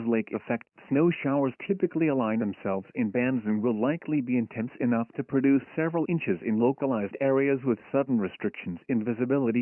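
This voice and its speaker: A synthetic male voice reading a scripted emergency weather bulletin in a formal, impersonal, measured way. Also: mid-range voice, no echo. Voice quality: synthetic text-to-speech voice. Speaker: automated weather alert announcer. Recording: tinny phone-like weather radio broadcast.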